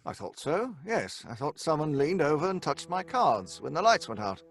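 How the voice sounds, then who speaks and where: An actor playing a tentative, impressionable seance guest in an old-fashioned radio drama. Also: male voice, fairly high pitch, sing-song, moderate pace. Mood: uneasy, intrigued.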